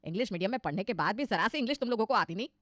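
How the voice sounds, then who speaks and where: A woman's voice: mid-range voice, animated, racing, a bit bright, no room sound, studio quality, lightly acted. A woman voicing a fretful middle-aged mother who turns showily modern in a cartoon story, chatty and emphatic. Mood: determined.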